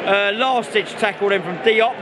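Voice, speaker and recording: A man vlogging a football match in a glum, halting way, giving off-the-cuff commentary as host. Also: high voice. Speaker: fan vlog host. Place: outdoors in a football stadium. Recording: very noisy outdoor stadium crowd recording. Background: loud crowd and outdoor noise.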